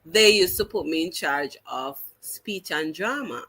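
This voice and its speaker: A woman's voice: low-pitched, lively intonation, sharp tone, slightly rough. A woman with a Jamaican accent, a teacher telling her story as an interview guest, earnest and full of restarts. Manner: earnest, halting restarts, slips into patois.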